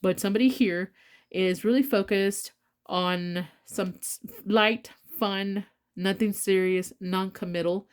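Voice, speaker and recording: A woman's voice: medium pitch, fairly even intonation, slow pace, clean tone. A congested woman giving a casual tarot reading, talking bluntly with rambling asides and swearing freely. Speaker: tarot reader.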